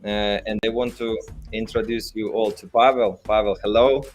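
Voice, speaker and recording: A man with a low voice and a Russian accent hosts a casual livestream as a company leader. His phrasing is halting and hesitant, and music plays faintly behind him. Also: little pitch movement. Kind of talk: casual live podcast talk. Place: studio built in a hotel room.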